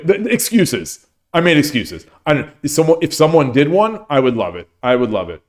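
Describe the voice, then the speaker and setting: A man's voice: fairly high pitch, a little mellow. A man hosting a casual livestream, chatting at length and answering chat comments, with many restarts and fillers. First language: English. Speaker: streamer and host.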